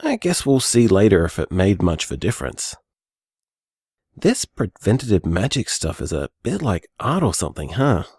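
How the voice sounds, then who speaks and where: A soft-spoken young magic-user who rambles and muses out loud, as a man voices him. His voice sits fairly low but swoops up and down with lively inflection. It sounds close and dry, with no room around it. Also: moderate pace, slightly rough, dry recording, full character voice. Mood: tender. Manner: chatty, musing, hedging with fillers. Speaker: bar owner practicing magic. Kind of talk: audio drama voice message.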